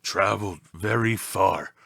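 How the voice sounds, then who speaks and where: Man performing an ancient otherworldly mentor, storybook character, solemn, portentous, drawn-out delivery, deep voice, close dry sound. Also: creaky, pushed and bright, dry recording, full character voice. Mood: solemn, mysterious. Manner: slow, portentous, inverted archaic phrasing. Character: ancient, towering alien mentor, solemn and urgent.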